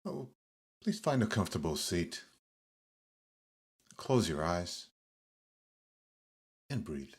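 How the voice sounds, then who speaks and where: Male meditation guide, low and a little breathy-rough, delivering yoga nidra instructions in measured, pause-filled phrases. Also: very animated.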